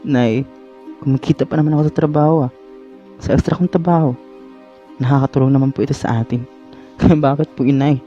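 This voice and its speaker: A man's voice: mid-range voice, steady tone, fairly quick, long pauses, dark tone, highs cut off, soft background music, natural voice. A man playing an earnest, pleading young son with a Filipino accent in an amateur radio drama. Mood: hurt, pleading. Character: worried, pleading young working-student son. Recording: background soundtrack under the voice.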